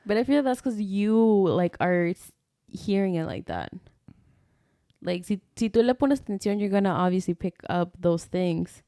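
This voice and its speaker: A woman's voice: mid-range voice, moderate pace, warm tone, no room sound, clean studio sound. A young woman co-hosting a podcast, chatting casually and mixing Spanish into her English, with lots of "like" fillers. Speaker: podcast co-host. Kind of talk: casual chat.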